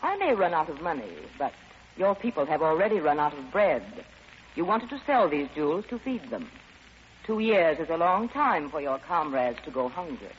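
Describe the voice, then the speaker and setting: A woman's voice: low voice, big pitch swings, normal speed, a little hiss or hum. A woman playing a haughty, scheming duchess in an old-time radio drama, on a muffled recording with long reverb. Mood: threatening.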